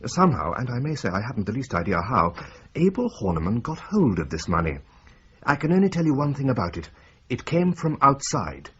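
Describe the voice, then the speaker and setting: An actor playing a precise, wry accountant who explains figures step by step in a radio drama. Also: male voice, mid-range voice, very animated, fairly quick, a bit bright, husky, heavily acted. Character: dry precise male accountant. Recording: dull lo-fi audio with faint hiss.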